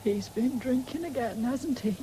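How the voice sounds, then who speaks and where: An actress playing an ailing, stubborn farm wife with an English accent in a 1970s radio drama, weak and insistent. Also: female voice, fairly high pitch, normal speed, dark tone, close to an everyday voice. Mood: weary, bitter. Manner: insistent, repeating demands. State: weak and failing. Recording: hissy old radio broadcast recording.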